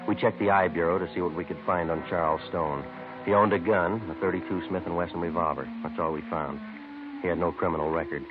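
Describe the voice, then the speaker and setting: Actor as deep, clipped deadpan police sergeant. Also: male voice, close to an everyday voice. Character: terse, matter-of-fact male police sergeant narrating his case. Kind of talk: 1950s radio drama acting, clipped narration. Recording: muffled, tinny old 1950s radio recording with music.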